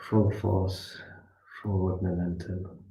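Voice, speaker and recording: A man leading a guided meditation as a class teacher, speaking soothingly in short phrases with pauses between them. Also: sits low, level tone, creaky, mellow. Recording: muffled echoey room, distant from mic.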